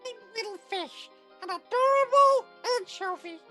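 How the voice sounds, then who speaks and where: Very high, squeaky little anchovy puppet. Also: female voice, lively intonation, moderate pace, pushed and bright, soft background music, heavily acted. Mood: cute, hopeful. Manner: innocent, questioning, childlike. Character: small adorable anchovy puppet, naive and childlike. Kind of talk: children's puppet show acting, play reading.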